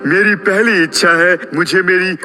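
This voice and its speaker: A man voicing a foolish, excitable cartoon donkey who exclaims, whines and hesitates in a children's story. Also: sits high, measured pace, faint music behind. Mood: eager.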